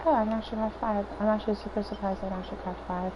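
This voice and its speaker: A hoarse woman with a raspy voice, chatting and thinking aloud in casual gameplay commentary as she streams. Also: medium pitch, little pitch movement, rapid-fire. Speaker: game streamer. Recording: dull lo-fi stream audio with faint noise.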